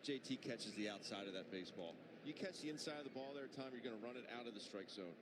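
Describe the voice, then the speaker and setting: A man's voice: medium pitch, expressive, fast talker. An American man giving analytical color commentary on a baseball game. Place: outdoor baseball stadium. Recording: outdoor ballpark noise.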